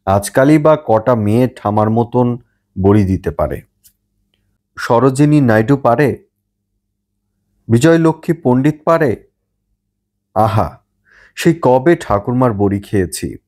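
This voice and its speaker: A flattering young granddaughter from a storybook, played by a male reader. She coaxes and pleads sweetly, gushing as she goes. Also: medium pitch, takes it slowly, pauses often. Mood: admiring.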